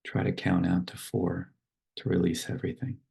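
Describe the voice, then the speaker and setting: Guy doing a guided meditation, really creaky and husky, unhurried with big pauses. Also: low voice, completely flat delivery. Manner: slow, unhurried, soft instruction with long pauses. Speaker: meditation teacher. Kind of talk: guided meditation and poem reading.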